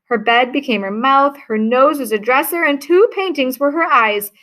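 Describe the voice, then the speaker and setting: A woman reading a children's picture book aloud in a lively, expressive way. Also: sits high, normal speed. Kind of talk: read-aloud storybook narration.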